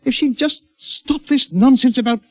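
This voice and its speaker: A man's voice: very high-pitched, moderate pace, no echo. A man acting a troubled, defensive prison governor in a radio drama, speaking emphatically and insistently. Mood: agitated, weary. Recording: dry, dull lo-fi radio recording.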